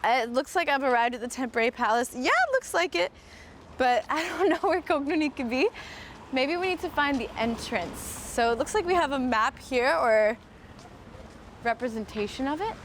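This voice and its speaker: A cheerful American woman with a fairly high voice chats her way through a live walking stream outdoors. She thinks aloud, fills her sentences with "um" and "like", and keeps talking straight to her viewers. Street noise sits faintly behind her. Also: animated, moderate pace. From United States. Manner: chatty and upbeat, thinks aloud with frequent fillers. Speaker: host. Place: outdoors, walking around a historic site.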